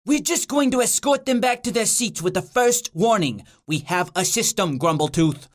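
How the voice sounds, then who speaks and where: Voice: medium pitch, expressive, moderate pace, close to an everyday voice. A rule-bound patrol demon in a comic storybook, voiced by a narrator. It sounds exasperated and matter-of-fact, like a weary official.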